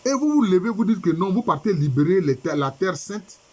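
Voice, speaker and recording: A man's voice: high-pitched, very animated, brisk, darkish tone, breathy edge. A man preaching a sermon by video conference while suffering from a head cold, repeating points and asking rhetorical questions. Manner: repetitive, emphatic, rhetorical questions.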